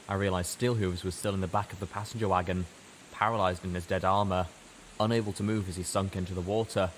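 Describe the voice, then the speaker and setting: A man narrating first-person fiction dramatically, with weighty delivery and deliberate pauses. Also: low voice, poor audio. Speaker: story narrator. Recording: faint background hiss.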